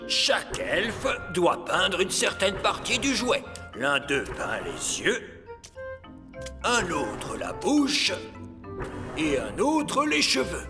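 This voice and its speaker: Swooping, high-voiced elf toymaker. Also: male voice, huge pitch swings, takes it slowly, in a large hall, over music, full character voice. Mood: proud, explaining. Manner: explanatory, exclaiming. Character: earnest, proud male elf toymaker. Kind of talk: French animated children's cartoon dub.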